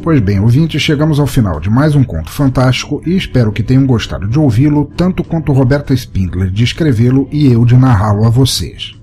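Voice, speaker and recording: A Brazilian man with a soft, mellow voice hosts and narrates a storytelling podcast. He reads prepared material at a mid-range pitch, with music playing behind him. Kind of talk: scripted story narration and reading listener comments. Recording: music bed under the voice. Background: background music.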